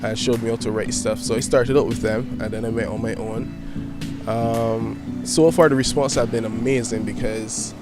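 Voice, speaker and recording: A nineteen-year-old man talks with eager, rambling energy, leaning on "you know" and "um" as he answers. His voice sits at a middling pitch. Clear background music plays under him throughout. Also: steady tone, moderate pace. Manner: eager, rambling, many fillers. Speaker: youth media founder and poet. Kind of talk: casual interview answers. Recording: clear music playing behind.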